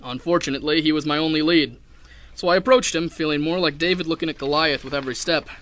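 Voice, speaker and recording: A young man playing a hard-boiled, wry detective who narrates a 1930s noir radio drama in a deadpan way. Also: on the high side, fast talker, close to an everyday voice. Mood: wry narration. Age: young adult. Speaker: narrator and lead actor.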